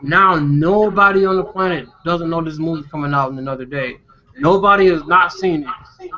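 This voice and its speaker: A man's voice: a little high, moderate pace, crowd noise. A man hosting a casual panel discussion, giving his opinions in a conversational way. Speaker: discussion host. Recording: dull lo-fi podcast audio.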